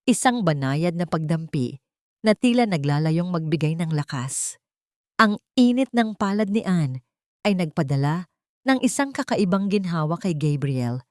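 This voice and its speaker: A woman with a fairly low voice narrates a scripted dramatic love story. The recording is close and dry, as in an audio drama. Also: a little mellow, dry recording.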